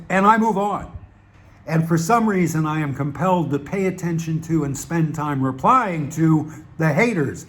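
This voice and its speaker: Southern us guy rambling at his channel, kinda high voice, wry, lots of uhs and restarts. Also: slight background noise. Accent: Southern American. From Southern United States. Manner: wry, digressive, many fillers and restarts. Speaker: channel host. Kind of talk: rambling casual monologue.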